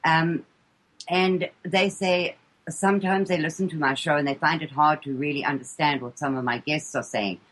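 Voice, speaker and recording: A woman's voice: low-pitched, steady tone, normal speed, a little hiss or hum, poor audio. A woman from South Africa with an English-leaning South African accent, a guest talking earnestly on a radio show and hesitating often. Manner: earnest, hesitant with frequent ums and repeated words. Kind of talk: casual radio interview chat.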